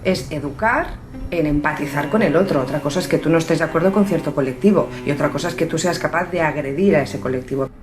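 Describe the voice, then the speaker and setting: Very low-pitched woman explaining. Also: quick pace. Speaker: expert interviewee. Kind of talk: explanatory interview talk.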